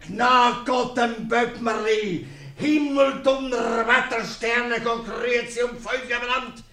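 A man's voice: very high-pitched, steady tone, slow, pushed and bright, full character voice. A man playing a hot-tempered, indignant father with a Swiss German accent, cursing and commanding in a 1950s radio comedy. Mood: furious, commanding. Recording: echoey old radio studio recording.

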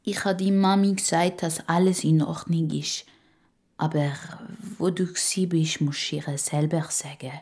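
A kindly older neighbour lady acted by a woman speaks very slowly in a deep, hushed voice. She has a Swiss German accent and belongs to a children's audio story. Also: steady tone. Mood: gentle, serious. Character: kindly older female neighbour, gently advising.

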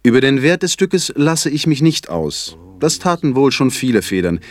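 An actor playing a fussy, self-satisfied poet in a theatrical literary monologue, with mannered, ornate, deliberate phrasing. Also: male voice, mid-range voice, sing-song, close to an everyday voice. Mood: self-satisfied musing. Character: fussy, self-satisfied Swiss poet confessing small escapades. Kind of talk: theatrical literary monologue in German stage diction.

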